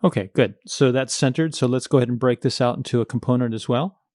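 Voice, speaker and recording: A man's voice: medium pitch, quick pace, darkish tone, no echo, studio quality. A man teaching coding in a screencast, explaining each step in a methodical, plain-spoken way. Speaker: coding tutorial instructor.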